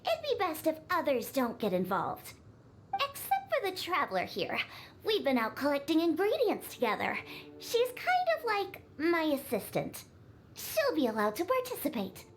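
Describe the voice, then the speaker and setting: A woman's voice: high-pitched, wildly swooping pitch, moderate pace, a little hiss or hum. A woman voicing a grumpy, bossy young cat-girl bartender in an anime game, curt and indignant. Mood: curt, haughty.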